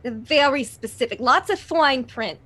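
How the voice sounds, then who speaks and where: A woman's voice: sits high, animated, normal speed, a bit bright. A woman improvising in-character dialogue as a player in a tabletop roleplaying game, speaking in a tentative way.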